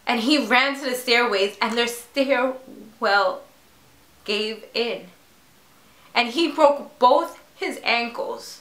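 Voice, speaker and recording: A woman acts out a grieving mother in a dramatic monologue, defensive and pleading. Her voice is high and slow-paced, halting with emotion, in a roomy, echoey space. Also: a bit bright. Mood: shaken. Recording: echoey room.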